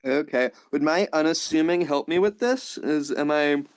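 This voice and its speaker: A man's voice: on the high side, moderate pace. A man player in a tabletop game, talking in a playful, laid-back way.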